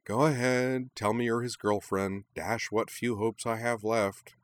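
An exuberant young woman racer from an audiobook, as performed by a male narrator. The voice sits well above a man's usual talk and gushes with playful, theatrical excitement. The sound is dry and close. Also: medium pitch, moderate pace, dry recording, pitched above the performer's own voice. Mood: mock despair.

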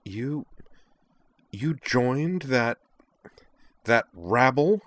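A man's voice: sits low, sing-song, normal speed, a bit bright, no echo. A man voicing a haughty, hot-tempered young woman who captains a fencing club in a narrated story, imperious and indignant. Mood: outraged. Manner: imperious, indignant, clipped and sputtering. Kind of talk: acted dialogue in a narrated story.

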